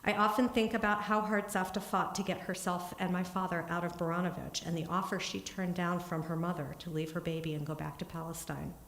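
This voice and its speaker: A woman giving an earnest, steady talk in a synagogue, then answering questions, with small restarts. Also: on the low side, a little fast, gravelly, few pauses. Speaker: congregant giving a family history talk. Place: synagogue sanctuary. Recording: echoey room.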